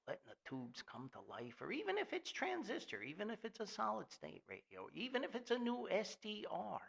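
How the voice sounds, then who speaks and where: An older American man hosting a podcast in a rambling, nostalgic monologue, folksy, halting and enthusiastic. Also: medium pitch, gravelly, fairly bright tone, breathy edge. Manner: folksy, halting, enthusiastic, refers to himself by name. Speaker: podcast host.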